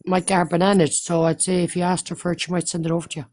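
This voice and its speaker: A guest chiming in on a live stream, talking quickly in a chatty way. There is a faint noise behind the voice. The talk reacts to the host with quick comments. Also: mid-range voice, level tone, a little mellow.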